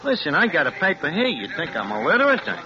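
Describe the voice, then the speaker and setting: A man's voice: fairly high pitch, wildly swooping pitch, fast. An actor playing a boastful small-time crook who talks in tough-guy street slang, in an old radio drama. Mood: curious. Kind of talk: 1940s radio acting. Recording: dull old radio broadcast, faint hiss.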